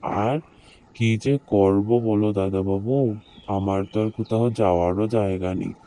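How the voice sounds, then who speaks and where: A fearful, humble village servant man from a horror cartoon, acted by a man. His voice is lowish and extremely dark. He laments plaintively and defers to those he addresses. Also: steady tone, unhurried, close to an everyday voice. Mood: fearful, lamenting. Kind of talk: horror cartoon story dubbing.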